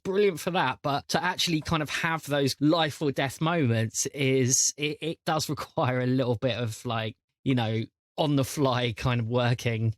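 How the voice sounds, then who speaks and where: A man chatting casually as a podcast host and game master, often repeating words as he thinks out loud. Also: mid-range voice, steady tone, normal speed, dry recording, clean studio sound.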